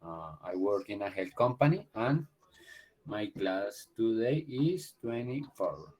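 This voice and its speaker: A man, introducing himself politely and haltingly in English class. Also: lowish voice, sing-song, darkish tone. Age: 20s. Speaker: student.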